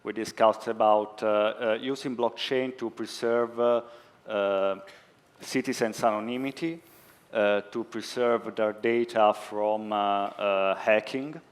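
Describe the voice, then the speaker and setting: A man's voice: on the low side, fairly even intonation, takes it slowly, smooth. A man speaking on a conference stage, answering questions hesitantly with many fillers. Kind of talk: conference pitch and Q&A.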